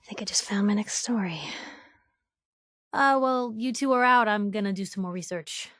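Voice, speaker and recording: A woman's voice: medium pitch, normal speed, a bit bright, no echo, natural voice. Actress as a brash, dry-recorded woman reporter. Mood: wry, inquisitive. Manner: sassy, sardonic, pushy. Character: brash, nosy, determined young woman investigative reporter. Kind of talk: video game dialogue acting.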